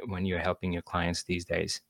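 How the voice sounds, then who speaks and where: A man's voice: low-pitched, monotone, normal speed, vocal fry, a bit bright. A man hosting a casual interview, enthusiastic and encouraging toward his guest. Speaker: podcast host.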